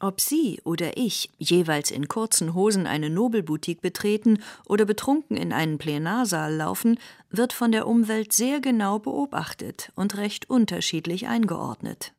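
A woman reading quoted passages aloud in a radio essay, calm and deliberate. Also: mid-range voice, gravelly, dry recording, clean studio sound. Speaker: quotation reader.

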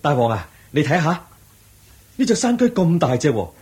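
A man's voice: on the high side, big pitch swings, normal speed, darkish tone. A man acting a deferential, coaxing servant to a king in a Hong Kong Cantonese radio drama. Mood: coaxing, eager. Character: fawning servant, secretly a vengeful fallen prince.

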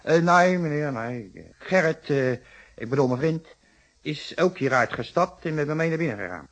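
An actor playing a cagey, grumbling, brusque working-class husband in a radio detective play, in colloquial, defensive Netherlands Dutch. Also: male voice, a little high, lively intonation, normal speed.

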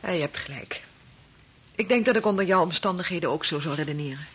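A woman's voice: on the low side, fast, husky. An actress playing a sympathetic, chatty mother of two in a Dutch radio play, solicitous and effusive. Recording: faintly noisy old radio play recording.

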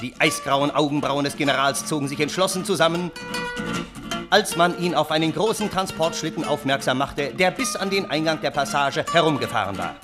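An actor playing a wry, wordy gentleman who narrates a German radio play in measured, ornate, ironic phrases. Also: a little high, animated, over music, close to an everyday voice. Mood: dramatic narration. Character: wry, wordy storytelling uncle, Russian gentleman, scheming and earnest.

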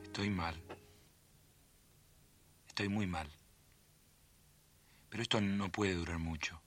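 An actor playing an anxious, self-doubting son in a radio drama, earnest and brooding, with an Argentine accent. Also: male voice, low voice, fairly even intonation, slow pace, a bit bright, faint music behind, heavily acted. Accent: Argentine, Rioplatense. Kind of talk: radio drama monologue and dialogue.

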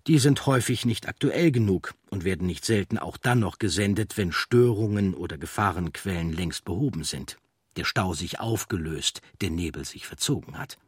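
A man narrates a documentary audiobook in standard German. His voice is low and husky, recorded very dry and close. The delivery is measured and articulate. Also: animated, dry recording.

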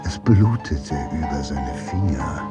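A man narrating a German radio play from a script in a measured, brooding and intense dramatic style. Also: rumbling low, expressive, over loud music.

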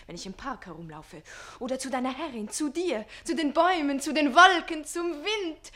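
Radio comedy: actress as adolescent boy page, high voice, lovesick, pleading, impetuous. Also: a bit bright, close to an everyday voice. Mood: ardent. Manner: gushing, breathless enthusiasm, pleading. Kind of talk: theatrical radio comedy acting.

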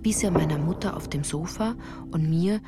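Female narrator for literary radio play: lowish voice, composed, careful diction, clear music bed underneath. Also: moderate pace. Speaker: first-person narrator.

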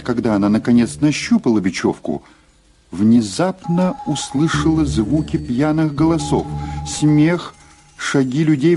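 A man's voice: mid-range voice, mellow. A man narrating an audio drama in a dramatic, scripted storytelling style. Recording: clear music behind the voice.

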